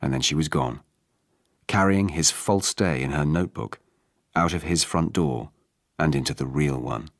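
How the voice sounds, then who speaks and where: Very deep-voiced male literary narrator. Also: moderate pace, creaky, no room sound, clean studio sound. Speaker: audiobook narrator reading an abridged novel for radio.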